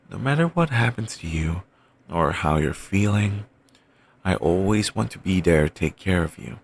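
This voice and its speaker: A man doing a low gravelly doting boyfriend. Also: heavily acted. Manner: soft, rambling, affectionate murmuring. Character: obsessive doting young adult boyfriend. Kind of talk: roleplay audio, bedtime comfort.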